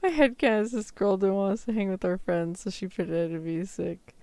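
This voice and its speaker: A woman's voice: mid-range voice, brisk, warm tone, no room sound. A woman streamer chatting casually with her viewers, her throat a little sore. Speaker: VTuber streamer. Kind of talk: casual livestream chat.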